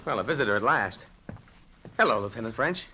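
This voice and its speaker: Earnest young time traveler, 1950s radio drama. Forceful, expressive, hesitant bursts, dull vintage sound. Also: male voice, medium pitch, a little fast, slight background noise. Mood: curious. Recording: muffled old radio recording.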